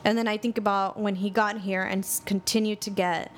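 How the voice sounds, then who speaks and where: A woman hosting an interview, relaxed and conversational as she shares her own story. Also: mid-range voice, a little fast, slight background noise. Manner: relaxed, conversational, sharing personal stories. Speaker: host.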